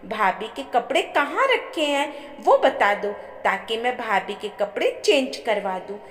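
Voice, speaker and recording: A woman's voice: fairly high pitch, sing-song, unhurried, a bit bright. A woman storyteller voicing a considerate young married sister-in-law, polite and a little hesitant. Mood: concerned. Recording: echoey with long reverb.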